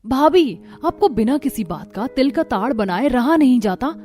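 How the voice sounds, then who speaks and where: Woman doing snooty young cartoon sister-in-law, whiny demanding, high voice. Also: animated, normal speed, mellow.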